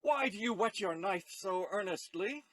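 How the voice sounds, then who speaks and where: A man playing a passionate, loyal young gentleman in a Shakespeare audio play, speaking earnestly and with feeling. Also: high-pitched, expressive, normal speed. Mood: accusing. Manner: impassioned, earnest.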